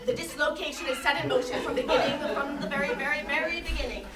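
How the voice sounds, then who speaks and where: A woman's voice: high voice, steady tone, fast talker, a loud crowd, natural voice. A woman acting a grandiose, earnest conceptual artist who lectures emphatically in a staged interview. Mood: lofty, lecturing. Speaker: actor in a staged reading. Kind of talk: theatrical monologue in interview form. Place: staged reading in a reverberant room. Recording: roomy, distant microphone, noisy hall.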